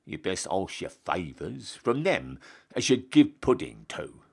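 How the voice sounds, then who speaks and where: A man's voice: low-pitched, big pitch swings, unhurried, gravelly, a little mellow, slightly rough, full character voice. A man voicing a blunt, stubborn Northern English private soldier with a dry manner in a comic monologue. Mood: sullen.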